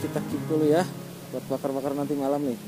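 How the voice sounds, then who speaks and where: A man's voice: on the high side, flat delivery, quick pace, poor audio. A young man chatting casually as a fishing vlogger in short colloquial exclamations, recorded outdoors on a seashore. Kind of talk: casual vlog chat. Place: open rocky seashore, outdoors. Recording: loud music over outdoor action-camera voice. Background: loud music.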